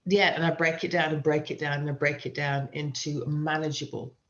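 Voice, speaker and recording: A woman's voice: sits low, flat delivery, a little fast, fairly bright tone. A middle-aged British woman who teaches writing, answering interview questions with emphatic advice and repeating key phrases for stress. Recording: dull, lo-fi sound.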